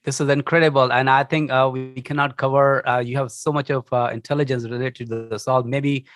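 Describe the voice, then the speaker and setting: A man's voice: medium pitch, level tone, fairly quick, fairly bright tone, dry recording. A man hosting an interview, heaping formal, effusive praise on his guest's answers before asking the next question. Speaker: interview host.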